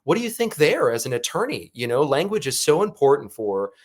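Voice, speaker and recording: A man's voice: on the high side, sing-song, fairly quick. A man hosting a news talk show, discussing and questioning in a conversational way. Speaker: host.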